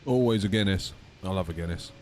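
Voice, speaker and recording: A croaky man chatting casually with his viewers while flying a sim. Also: low-pitched, fast talker, a little hiss or hum. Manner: chatty, talks to chat readers. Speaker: streamer. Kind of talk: casual chat while flight simming.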